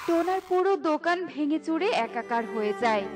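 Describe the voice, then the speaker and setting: A woman's voice: sits high, animated, a little fast, over loud music. A woman reading scripted narration as the storyteller of a children's cartoon.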